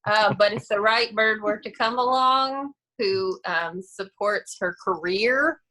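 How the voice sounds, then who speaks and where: A woman's voice: medium pitch, slow pace, bright, forceful tone, poor audio. A woman chatting casually on a group video call, playful and sassy as she answers questions. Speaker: actress answering questions about her character. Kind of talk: casual chat.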